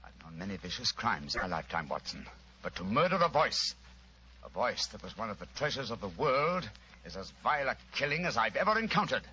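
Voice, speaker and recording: An actor with a British accent playing a commanding, eloquent gentleman detective in a 1940s radio drama. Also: lowish voice, very animated, a little fast. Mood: grave outrage. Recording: noisy, muffled old-time radio recording.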